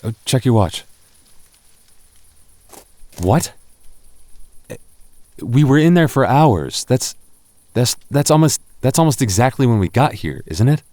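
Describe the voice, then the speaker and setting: An actor playing a gentle, nervous goth boyfriend in an ASMR roleplay, soft-spoken and stammering when flustered. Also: male voice, mid-range voice, sing-song, measured pace, pauses often, dry recording.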